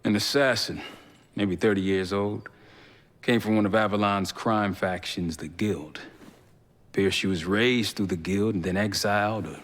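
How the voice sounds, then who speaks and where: An actor playing a steady, pragmatic intelligence operative in a video game, an American man talking frankly and colloquially. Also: lowish voice, normal speed, natural voice. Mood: measured, serious. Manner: laid-back, frank, colloquial. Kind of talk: video game dialogue acting. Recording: long reverberant room echo.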